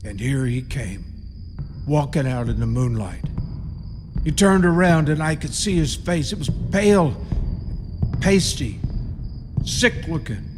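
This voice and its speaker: An actor playing an eerie rural caretaker of a haunted tomb in a radio play, telling it in a halting, ominous, folksy way. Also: male voice, a little high, lively intonation. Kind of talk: radio play horror storytelling. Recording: reverberant radio drama, audible background noise.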